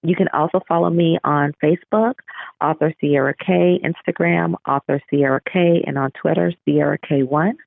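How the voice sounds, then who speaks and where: Female author as podcast guest, conversational, noticeable creak, thin phone-quality call audio. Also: low voice, fairly even intonation, moderate pace, no echo. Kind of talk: conversational interview answers. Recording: muffled, phone-like narrow-band call.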